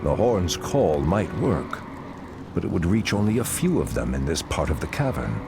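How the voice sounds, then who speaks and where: Casting: stern ancient elf druid for a fantasy game, very low, swooping delivery, music behind. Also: male voice, big pitch swings, normal speed, creaky, full character voice. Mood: commanding. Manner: grave, commanding, dramatic. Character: stern, commanding ancient male night elf druid leader. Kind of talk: video game cutscene acting.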